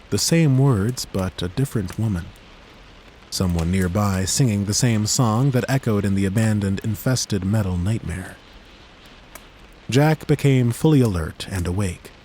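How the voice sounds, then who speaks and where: A man narrating a fantasy audiobook in a measured, steady storytelling manner on a close studio mic. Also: lowish voice, a little hiss or hum, rough recording.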